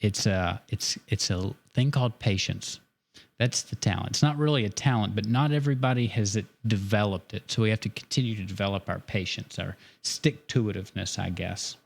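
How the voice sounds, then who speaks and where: Gravelly low-voiced man drawing live. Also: moderate pace. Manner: relaxed, joking, thinks aloud while drawing. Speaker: artist demonstrating a drawing. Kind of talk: live drawing demo with casual chat.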